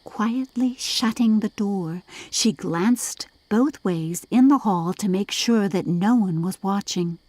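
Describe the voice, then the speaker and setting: Slow, dramatic woman audiobook narrator. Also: medium pitch, darkish tone, no echo. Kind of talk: scripted storybook narration.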